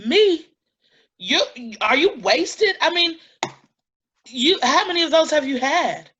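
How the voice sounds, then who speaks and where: A woman's voice: high-pitched, animated, slow pace, fairly bright tone, lo-fi sound, full character voice. An actress in a staged play reading, playing a touchy, indignant, blunt-spoken woman. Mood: indignant anger. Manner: blunt, confrontational, emphatic.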